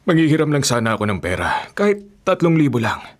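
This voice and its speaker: A man's voice: medium pitch, sing-song, fairly quick, natural voice. A man playing an apologetic, hard-up older brother in a hurry, in a Filipino radio drama. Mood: sheepish, hurried.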